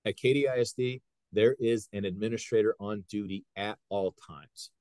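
A man in his fifties from Ohio, a high school wrestling coach who talks earnestly in a casual podcast chat and often acts out what people said. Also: fairly even intonation, moderate pace, dark tone, clean tone, dry recording. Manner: earnest, retells scenes by quoting people.

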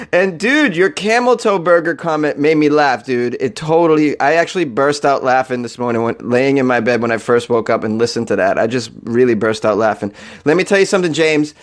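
A man's voice: medium pitch, animated, normal speed. Playful joking man hosting a podcast. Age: young adult. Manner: joking, laughs often, playful. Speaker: podcast host. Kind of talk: casual comedic monologue.

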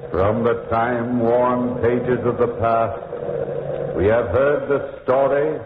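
A man announcing an old-time radio drama in a solemn, drawn-out, theatrical way. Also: mid-range voice, steady tone. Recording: echoey, very noisy narrow old radio recording.

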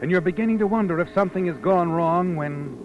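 A man's voice: high voice, fast talker, natural voice. A man playing a sly, all-knowing narrator in 1940s radio suspense style, needling a schemer in the second person with knowing questions. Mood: sly, taunting. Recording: muffled old radio broadcast, music behind.

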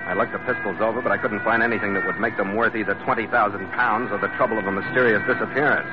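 A man's voice: lowish voice, steady tone, quick pace, over music, natural voice. A man acting in an old-time radio adventure, playing a tough, wry sea captain who narrates in a blunt, clipped way. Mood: wry narration. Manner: hard-boiled, blunt, clipped. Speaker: lead actor and first-person narrator. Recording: noisy, muffled vintage radio recording.

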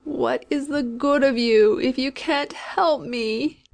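A woman's voice: high voice, takes it slowly, vocal fry, voice pushed up, full character voice. A woman playing a dying old sinner, rustic and desperate. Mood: frantic. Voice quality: rustic dialect, anguished pleading. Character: dying old woman, sinful, terrified, imperious.

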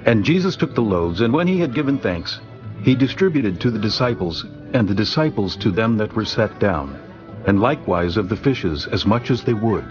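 A man narrating scripture in a steady, formal reading voice. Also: lowish voice, moderate pace, highs cut off. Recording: music underneath.

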